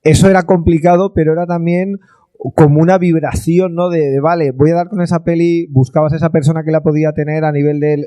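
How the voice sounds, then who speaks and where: A man's voice: a little high, mellow, clear, resonant tone, no room sound. A man speaking on a panel debate, reflective and nostalgic, often tagging his points with questions.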